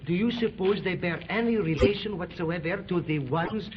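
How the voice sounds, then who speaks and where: An actor with a French accent playing an earnest, loyal naval lieutenant in a 1930s radio serial, on a dull old recording. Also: male voice, high voice, normal speed, noisy background, close to an everyday voice.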